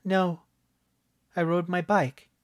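A man narrating a story, voicing an earnest, worried young boy in a high, urgent voice. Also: flat delivery, slow pace, no room sound, studio quality. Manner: urgent, pleading boyish voice.

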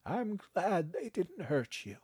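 A man narrating a story, voicing a calm, frail old grandfather who speaks slowly and gently. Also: sits high, slightly rough, no room sound, studio quality, voice pushed up, exaggerated voice. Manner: slow, gentle, unbothered.

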